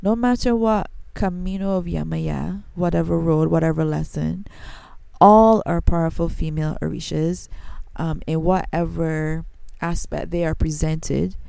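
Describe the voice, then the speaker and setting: A woman's voice: deep voice, fairly even intonation, unhurried, vocal fry, dark tone, clean tone, no echo. A woman from Barbados with a Barbadian accent, hosting her own podcast and telling a personal story in an earnest, reflective way. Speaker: podcast host. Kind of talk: solo podcast monologue.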